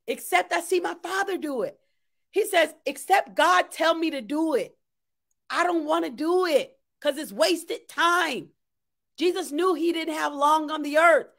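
A woman's voice: very high voice, bright, forceful tone. A middle-aged woman preacher delivering an impassioned sermon, exhorting emphatically and repeating phrases for weight, recorded in a room at home. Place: small home room, indoors.

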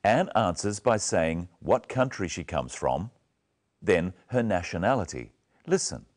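A man's voice: low-pitched, lively intonation, a little slow. A man presenting a scripted English lesson, instructing learners in carefully enunciated phrases.

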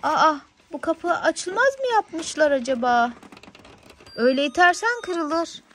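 A woman with a high voice talks at an unhurried pace to a little child. She is playful and encouraging and keeps asking questions as in a toy unboxing. There is faint noise and some clicking in the home recording. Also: big pitch swings, fairly bright tone. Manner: encouraging, question-filled, child-directed talk. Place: indoors on a living-room sofa.

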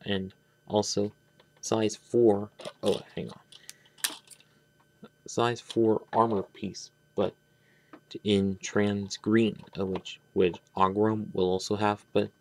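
Low-pitched, creaky man reviewing toys. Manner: halting, rambling, trailing off. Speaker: toy reviewer. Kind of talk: unscripted hands-on product review. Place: indoors at a tabletop.

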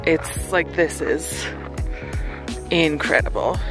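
A woman in her twenties chatting casually to a camera at arm's length outdoors, telling stories in an animated way with casual asides. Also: low voice, takes it slowly, music in the background. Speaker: travel vlogger. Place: outdoors at castle ruins and scenic viewpoints.

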